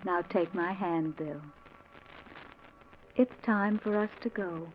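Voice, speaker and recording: An actress playing Death as a beautiful, soothing young woman in a 1940s radio drama, measured and softly insistent. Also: on the low side. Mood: calm, tender. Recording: tinny, noisy 1940s radio recording.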